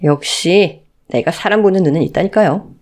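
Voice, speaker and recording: A woman's voice: fairly low pitch, normal speed, close to an everyday voice. Woman voicing a courteous, earnest young man. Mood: tender, earnest. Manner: soft-spoken, polite, earnest. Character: gentle, courteous man in his thirties, devoted suitor. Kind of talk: radio story drama acting.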